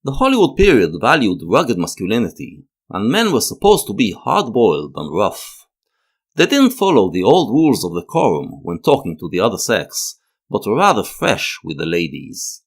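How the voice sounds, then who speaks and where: A man narrating a scripted analytical video essay in a measured, lecturing manner, leaning on key words. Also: fairly low pitch, huge pitch swings.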